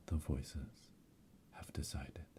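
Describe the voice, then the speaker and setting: Casting: male performer as solemn coven witch for ASMR roleplay, very deep, hushed breathy whisper, flat ceremonial delivery. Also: slow. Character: solemn witch elder leading a coven initiation. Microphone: close binaural microphone.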